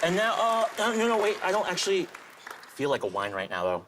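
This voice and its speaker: A man's voice: high-pitched, fairly quick, over a noisy crowd, very noisy. A young man from Taiwan, a magician chatting playfully with the host while performing a trick. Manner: chatty, animated, jokey. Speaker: magician contestant.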